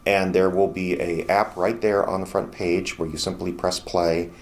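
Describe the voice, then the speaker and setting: A man's voice: low voice, level tone, moderate pace. A middle-aged American man explaining things thoughtfully and conversationally in a casual promotional interview. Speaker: radio theater founder and organizer.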